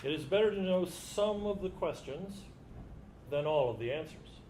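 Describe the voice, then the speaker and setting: A man's voice: on the high side, lively intonation, normal speed, darkish tone. A man performing as a reader in a staged literary dramatization. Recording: live stage recording with background noise.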